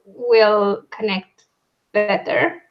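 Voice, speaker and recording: A woman chatting casually about books as a co-host, in an animated, self-interrupting way. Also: mid-range voice, very slow, dull audio. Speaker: co-host of a book discussion. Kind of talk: casual book chat.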